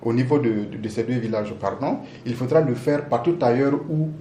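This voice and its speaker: A man's voice: mid-range voice, normal speed, dark tone, a little hiss or hum. A man with a Burkinabe accent explaining things in an interview as a project representative, often searching for words.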